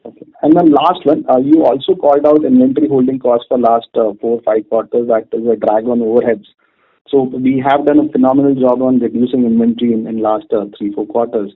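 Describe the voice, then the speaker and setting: Male analyst on an earnings call, mid-range voice, halting and wordy, muffled telephone sound. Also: medium pitch, steady tone, slightly rough. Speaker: financial analyst asking questions. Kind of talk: business question-and-answer. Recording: narrow muffled phone conference line.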